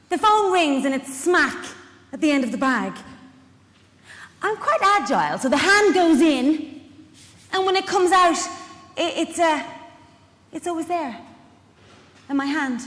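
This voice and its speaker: A woman's voice: high voice, a bit bright, heavily acted. An actress playing a busy, indignant care worker and mother in a chatty, emphatic stage monologue. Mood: exasperated, animated. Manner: chatty, emphatic, confiding asides to the listener. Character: Stella, busy, warm-hearted, indignant working mother and community care worker. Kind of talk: dramatic monologue reading performed live. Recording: echoey hall, live stage reading.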